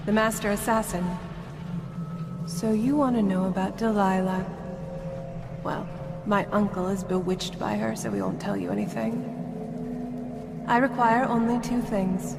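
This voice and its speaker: A woman voicing a haughty, scheming young noblewoman in a video game, poised, deliberate and coolly commanding. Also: mid-range voice, fairly even intonation, gravelly, very noisy. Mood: imperious, bargaining.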